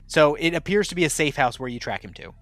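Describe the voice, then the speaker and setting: A man running a tabletop game, his voice sitting a little high. He talks in a loose, playful way, circling back and restarting as he sets scenes. The sound is close and dry. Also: lively intonation, fast talker, fairly bright tone, no room sound, soft background music. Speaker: game master.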